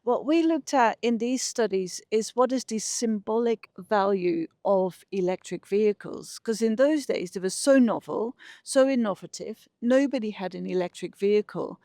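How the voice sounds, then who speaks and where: A woman's voice: mid-range voice, normal speed, few pauses, warm tone, dry recording, clean studio sound. A middle-aged woman with a Dutch accent, explaining her research conversationally as an interview guest. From Netherlands. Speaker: interview guest, behavioural scientist.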